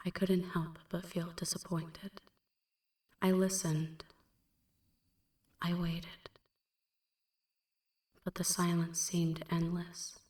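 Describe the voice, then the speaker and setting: A woman playing a melancholy female vampire in an ASMR roleplay, recounting a dark past in a hushed, breathy, measured half-whisper. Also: lowish voice, roomy sound, distant mic. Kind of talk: ASMR roleplay, slow dramatic first-person narration.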